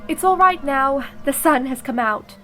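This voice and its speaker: A woman's voice: high voice, lively intonation, moderate pace, pushed and bright, faint music behind. An actress playing a sensible, observant, genteel young lady in a radio play, with composed, proper period diction. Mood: composed, teasing.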